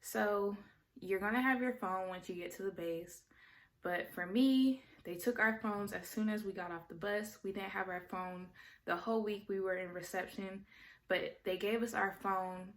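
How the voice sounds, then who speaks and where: A woman's voice: mid-range voice, moderate pace. Chatty young woman vlogger. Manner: chatty, explanatory, with self-corrections. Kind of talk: casual talking-head vlog.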